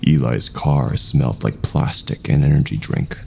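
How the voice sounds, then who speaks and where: A man's voice: a bass voice, moderate pace, gravelly, mellow, slight background noise. A man reading a scripted first-person horror story as its narrator.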